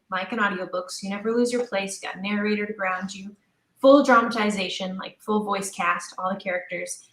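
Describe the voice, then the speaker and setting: A woman talking as an interview guest about her creative work, enthusiastic, animated and emphatic. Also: medium pitch. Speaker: guest, audio drama creator. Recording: roomy, echoing sound.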